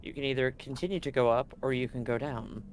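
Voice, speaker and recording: A man's voice: medium pitch, steady tone, quick pace. A man running a tabletop role-playing game as game master, talking casually to the players over background noise. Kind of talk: tabletop role-play game narration and chat.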